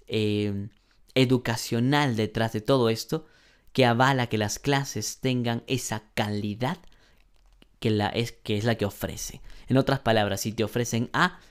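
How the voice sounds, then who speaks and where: Male online English teacher, example-heavy explanations, frequent throat clearing. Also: medium pitch. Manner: explains with many examples and rhetorical questions; clears his throat often. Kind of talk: online lesson.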